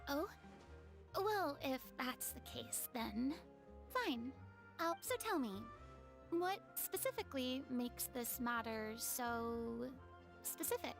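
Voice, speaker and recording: A woman's voice: high voice, expressive, slow pace, gravelly, music in the background, full character voice. A woman voicing a proud former stage star in a video game, speaking in a theatrical, haughty way. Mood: guarded. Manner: theatrical and haughty, turning sheepish. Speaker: game character.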